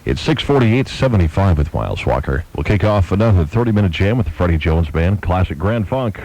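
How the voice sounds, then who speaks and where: Very deep American rock DJ man. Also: sing-song, brisk, a little mellow, poor audio. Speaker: radio DJ. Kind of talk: rock radio DJ patter.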